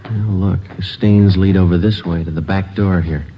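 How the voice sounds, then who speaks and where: An actor playing a calm, terse male police detective with a clipped, matter-of-fact delivery in a 1950s radio drama. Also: low-pitched, normal speed. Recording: dull hissy 1950s radio recording.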